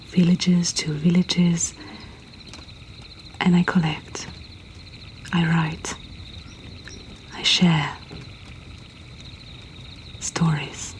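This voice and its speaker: A woman softly whispering a poetry reading as an intimate storyteller host, breathy and deliberate with long pauses. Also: fairly low pitch, slight background noise.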